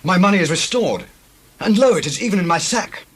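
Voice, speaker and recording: An actor playing an anxious, guilt-troubled brother in a scripture drama, declaiming earnestly in a raspy voice. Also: male voice, high voice, lively intonation, a little fast. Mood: alarmed. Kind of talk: dramatized scripture reading in archaic English.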